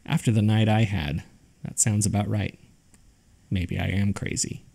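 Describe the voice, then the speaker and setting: A man's voice: on the low side, steady tone, unhurried, vocal fry, mellow. A man reading scripted narration as the host of a horror fiction podcast. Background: background music.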